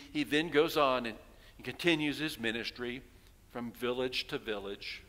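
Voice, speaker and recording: A man's voice: a little high, bright, forceful tone. An older man in his sixties preaching a sermon from the pulpit, telling stories and asking his congregation rhetorical questions. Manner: storytelling preacher, rhetorical questions, voicing townspeople. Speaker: pastor. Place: large church sanctuary, at pulpit. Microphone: headset mic. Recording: long reverb of a church hall.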